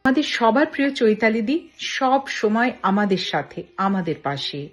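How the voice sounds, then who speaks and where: A woman's voice: a little high, very animated, unhurried, soft background music. A woman with a Bengali accent, hosting an interview with introductions and questions. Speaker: host, interviewer.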